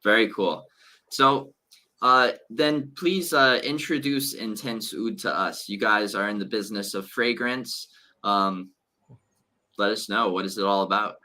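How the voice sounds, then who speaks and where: A man's voice: lowish voice, measured pace, pushed and bright. A man hosting an interview over a video call, upbeat and enthusiastic. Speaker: interview host.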